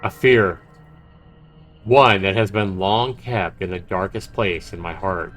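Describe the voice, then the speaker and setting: A man's voice: low-pitched, slow, a bit bright, soft background music. A man livestreaming a horror visual novel, reading it aloud dramatically and chatting casually about it. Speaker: livestreamer playing and narrating a game.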